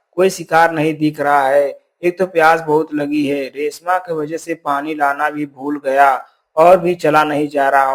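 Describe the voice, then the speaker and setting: Cartoon hunter, male actor: somewhat high-pitched, stubborn, complaining, self-justifying villager. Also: fairly bright tone.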